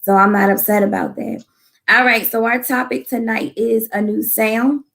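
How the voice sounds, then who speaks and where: Woman podcast host, voice going hoarse, gravelly, casual churchy delivery. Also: fairly high pitch, fairly even intonation, moderate pace, fairly bright tone. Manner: prayerful, peppered with church phrases. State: losing her voice. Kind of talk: casual faith podcast hosting.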